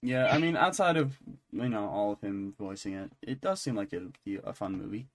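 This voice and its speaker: A man's voice: lowish voice, fairly quick, a little hiss or hum. A man co-hosting a podcast in a casual, joking conversational style. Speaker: podcast co-host.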